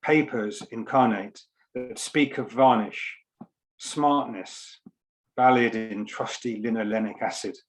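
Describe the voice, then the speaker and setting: A man reading poetry and introducing it in a measured, deliberate way. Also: medium pitch, poor audio. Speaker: poet reading at a book launch. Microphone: online video call.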